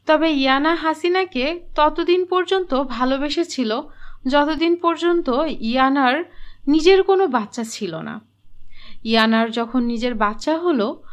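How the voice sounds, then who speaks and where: A high-pitched female narrator reads a scripted fairy tale in storybook fashion.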